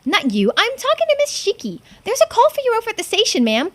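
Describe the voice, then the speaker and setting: A woman voicing an eager, brisk young police messenger in a game, urgent and loud. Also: extremely high pitch, wildly swooping pitch, pitched above the performer's own voice, full character voice.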